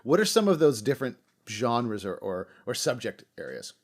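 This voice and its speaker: A man's voice: medium pitch, very animated, normal speed. A man hosting an interview in a casual, rambling way, thinking aloud and acting out little quotes. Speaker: podcast host.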